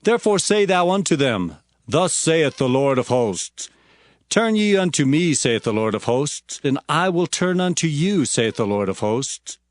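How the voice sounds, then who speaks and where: A man's voice: a little high, very animated, unhurried, no echo, clean studio sound. A man playing an earnest Hebrew prophet, narrating scripture in a dramatic, declamatory way. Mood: stern. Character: earnest male Hebrew prophet recounting visions and oracles.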